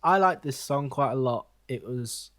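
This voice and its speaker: Male music podcast co-host, medium pitch, conversational delivery with a dry, deadpan wit. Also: normal speed. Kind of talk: casual music review chat.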